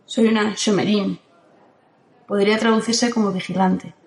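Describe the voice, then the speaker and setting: A woman from playing a calm, ageless guardian who speaks in a steady, firm way in an audio drama. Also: mid-range voice, moderate pace, close to an everyday voice. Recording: roomy echo.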